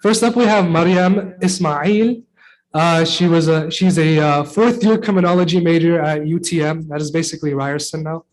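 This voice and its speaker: A young man emceeing a youth career fair, briskly reading out speaker introductions. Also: a little high, low-quality recording. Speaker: event emcee.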